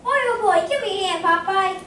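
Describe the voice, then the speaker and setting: A woman's voice: very high voice, fairly quick, bright, forceful tone. A woman playing a plucky, eager little cartoon boy with gee-whiz manners in an old radio comedy. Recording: noisy echoey hall from far off.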